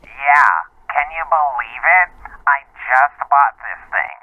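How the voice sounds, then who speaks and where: An actor playing a chummy, clueless, easily exasperated pest of a caller, heard through a telephone filter. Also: male voice, mid-range voice, takes it slowly. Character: pestering, clueless man calling an emergency hotline. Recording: narrow tinny phone line.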